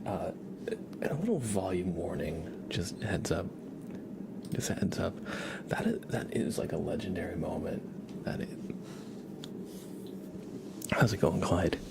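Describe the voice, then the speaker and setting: Hushed, gravelly low-voiced man streaming ASMR. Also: expressive, measured pace, long pauses, heavy background noise. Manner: hushed, amused, chatting to viewers. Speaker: live streamer, ASMR host. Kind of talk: casual ASMR livestream chat, soft-spoken to half-whispered. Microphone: close ASMR microphone.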